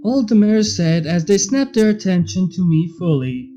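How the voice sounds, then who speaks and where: A man's voice: sits high, sing-song, moderate pace, warm tone, dull audio. A man reading fan fiction aloud in a scripted narrating style. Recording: music under the voice. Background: background music.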